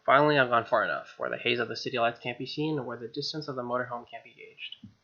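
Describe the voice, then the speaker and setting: A man's voice: mid-range voice, lively intonation, quick pace, bright, forceful tone, lo-fi sound. A man hosting a let's play, reading game text expressively with wry, casual asides.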